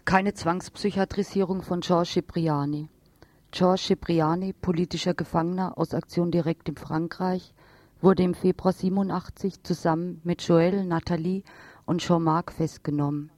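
Low-voiced woman reading a serious radio report. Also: steady tone, normal speed, a little mellow, dry recording. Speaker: radio news presenter. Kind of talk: scripted news report read aloud.

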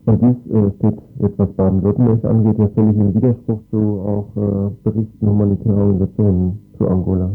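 A man's voice: on the low side, little pitch movement, brisk, gravelly, few pauses, narrow, tinny audio, soft background music. A man working as a radio interviewer, asking formal news questions.

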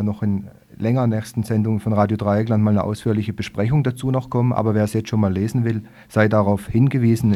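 Low-voiced man hosting radio. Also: a little mellow. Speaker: radio host. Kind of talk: live radio show sign-off.

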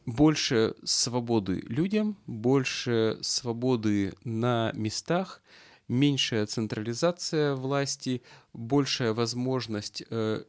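A man's voice: mid-range voice, slow. A middle-aged man from Russia giving spontaneous political commentary, often searching for his words and restarting phrases. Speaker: political commentator, former local political activist.

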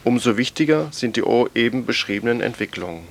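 A man's voice: medium pitch, lively intonation, quick pace, gravelly. A man presenting radio news, reading scripted political reports and programme notes aloud.

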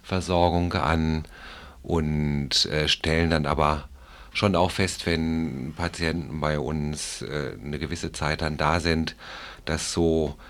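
A man with an extremely low voice answers interview questions about his work. He explains things carefully in formal wording and corrects himself as he goes. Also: fairly even intonation, slow pace. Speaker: clinic staff member being interviewed.

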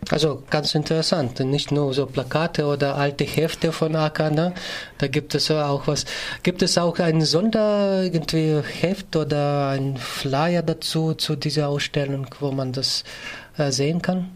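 A man hosting a live morning radio interview, improvising his questions haltingly with false starts and rephrasings. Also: on the high side, fairly even intonation.

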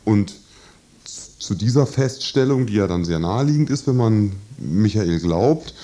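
Low-voiced man reporting on radio. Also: lively intonation, unhurried, mellow. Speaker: trial reporter, studio guest. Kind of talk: live radio interview, explanatory report.

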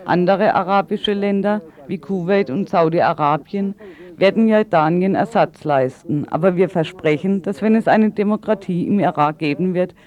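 A man working as an interpreter, delivering a spoken translation of interview answers. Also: high-pitched. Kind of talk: consecutive voice-over interpreting. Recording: slightly dull radio sound.

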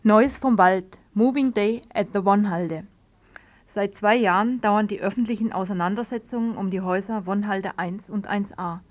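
Mid-pitched woman reading radio news. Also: normal speed. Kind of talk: scripted news report.

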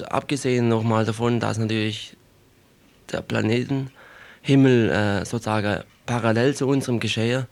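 A man, a musician, answering as a guest in an unscripted radio interview, reflective and wordy, with hesitant, halting phrasing. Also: mid-range voice, gravelly.

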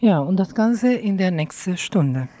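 A woman radio presenter announcing programme items in a hesitant, halting way, searching for words. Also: lowish voice, lively intonation, dark tone. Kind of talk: loosely scripted programme announcement.

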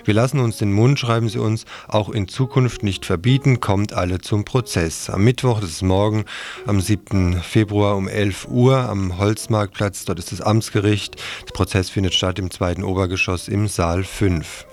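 A man's voice: fairly low pitch, fairly even intonation, moderate pace, darkish tone. A man hosting live community radio, reading announcements and addressing listeners informally. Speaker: radio host.

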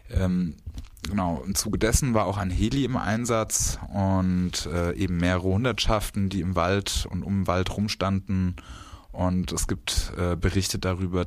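Deep-voiced man presenting radio news. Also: no echo. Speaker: radio show host. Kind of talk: informal spoken news bulletin.

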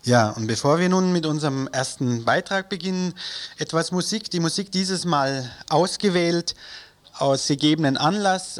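Man, fairly high voice, radio presenter, reading scripted announcements, occasional stumbles, studio.

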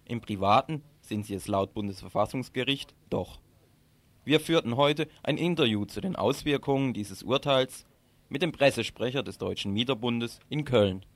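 A man's voice: medium pitch, moderate pace, no room sound. A man reading scripted radio commentary and putting questions as a presenter and interviewer.

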